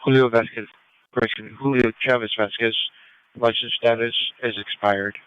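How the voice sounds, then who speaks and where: A man's voice: medium pitch, steady tone, normal speed, a bit bright, smooth, no echo, lo-fi sound. A man giving procedural police dispatch over a scanner radio.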